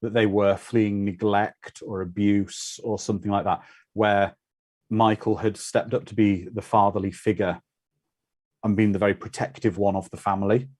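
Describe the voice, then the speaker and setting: A man's voice: fairly low pitch, flat delivery, normal speed, a little mellow. A man hosting a watch party, giving casual, conversational commentary on a film.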